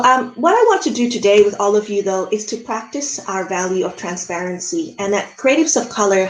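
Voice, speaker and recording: Woman in her early thirties, native Indonesian speaker, mid-pitched voice, measured delivery with frequent pauses, video call audio with room echo. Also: slight background noise. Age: early 30s. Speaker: conference speaker. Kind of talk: online presentation with audio description.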